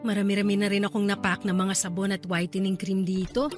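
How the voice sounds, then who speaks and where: A woman playing an affectionate, easygoing young aunt in a Tagalog radio drama, talking in a casual, expressive way. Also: medium pitch, a little fast, over music, close to an everyday voice. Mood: light, casual. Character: affectionate hardworking young aunt, easygoing, busy online seller.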